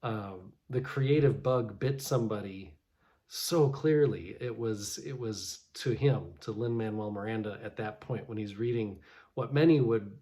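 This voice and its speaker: A man's voice: on the low side, unhurried, dark tone. A man giving a casual devotional reflection as a pastor, talking loosely with many restarts. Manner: conversational, many restarts and fillers.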